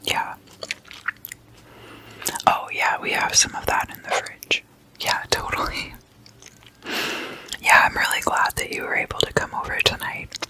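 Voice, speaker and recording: A person speaking in a breathy, unvoiced whisper, hushed and conversational, like an ASMR host chatting at a sleepover. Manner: slow, hushed, casual and conversational. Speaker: host of an ASMR roleplay series.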